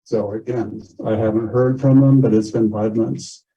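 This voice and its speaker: A man's voice: fairly low pitch, fairly even intonation, moderate pace, dark tone. A man chairing an informal community meeting, making announcements and reminders. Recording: dull, muffled meeting audio.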